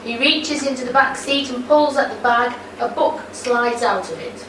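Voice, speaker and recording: A woman narrating the stage directions of an audio play in a plain, even reading. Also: a little high, normal speed, echoey room, distant mic, a little hiss or hum, poor audio.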